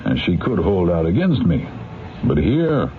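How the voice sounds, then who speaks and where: A shrewd defense attorney in a 1970s radio mystery. He is a deep-voiced, candid man with lively, wry delivery. The sound is tinny and noisy. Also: measured pace, heavily acted. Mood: explaining, knowing.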